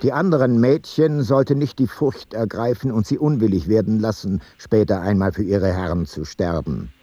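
An actor playing a sober chronicler in a radio play, reading old travel logbooks in a measured, husky, matter-of-fact voice. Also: male voice, fairly low pitch, warm tone, dry recording, highs cut off, lightly acted. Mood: grave, detached. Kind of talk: literary radio play narration.

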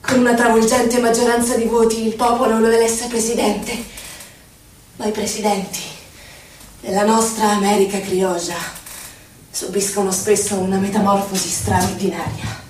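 An actress playing a solemn poetic narrator, reciting in measured, declamatory, weighted phrases for a radio drama. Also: female voice, mid-range voice, flat delivery, a little mellow, close to an everyday voice. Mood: bitter. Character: solemn female poetic narrator of political betrayal and struggle. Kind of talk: theatrical poetry recitation for radio. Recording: echoey, distant, noticeable noise.

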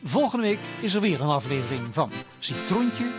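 Wry narrator, fairly high, animated, scripted radio narration, guitar music behind. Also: male voice, normal speed. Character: wry male storyteller closing the episode.

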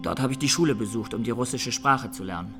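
An actor playing a young Armenian defendant, plain-spoken and haunted, giving measured testimony in a radio courtroom play. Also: male voice, medium pitch, over music, natural voice. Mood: subdued, factual.

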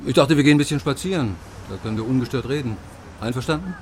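A man's voice: mid-range voice, animated, racing, darkish tone, natural voice. Male actor for secretive contact in an audio crime play, polite, medium voice, noisy city exterior. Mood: discreet, courteous. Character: discreet, polite West Berlin contact. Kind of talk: radio drama dialogue.

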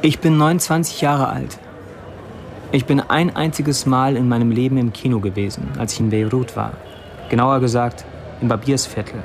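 An actor plays a wry young man with a middle-pitched voice. He delivers a blunt, dry first-person monologue in a matter-of-fact way, as in a radio play. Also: steady tone, moderate pace, noisy background, close to an everyday voice. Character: wry 29-year-old Syrian man narrating his blunt online posts.

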